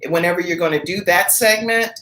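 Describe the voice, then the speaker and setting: A woman's voice: lowish voice, a little fast, a bit bright, echoey room, poor audio. A woman hosting a live talk show, chatting casually and laughing easily with her guest. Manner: upbeat, chatty, laughs often. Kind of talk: casual live interview chat.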